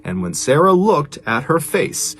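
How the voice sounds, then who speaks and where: A man's voice: fairly high pitch, big pitch swings, a little slow, fairly bright tone, faint music behind. A man telling a strange true story as a host, in a conversational, emphatic and suspenseful narrating style.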